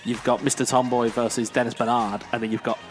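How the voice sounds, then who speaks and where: A man's voice: mid-range voice, level tone, quick pace, faint music behind. A man doing co-commentary on an esports football event, talking things through with lots of fillers. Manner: thoughtful, with many fillers like "kind of". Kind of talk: analytical co-commentary.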